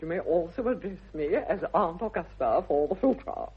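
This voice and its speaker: Snobby older english lady, grand drawl, really swoopy high-to-low, tinny old radio sound. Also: animated, normal speed, slightly rough. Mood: commanding. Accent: upper-class English. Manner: grand, haughty, emphatic drawl. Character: imperious, snobbish older aristocratic English aunt. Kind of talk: 1960s radio comedy acting. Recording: muffled, tinny vintage radio recording.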